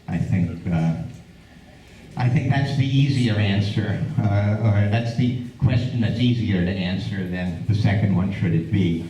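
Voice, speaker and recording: A man introducing speakers at a club meeting, halting with many fillers and restarts. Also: low voice, warm tone, lo-fi sound, slight background noise. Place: community meeting room. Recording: echoey room, far from the mic.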